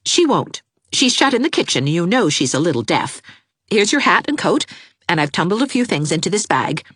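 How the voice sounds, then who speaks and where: A brisk, sharp-tongued young woman giving crisp, sarcastic orders, as a woman reader plays her. Her voice rides a little higher than the reader's own with lively pitch, and the sound is dry and close like a storybook reading. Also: no room sound, full character voice.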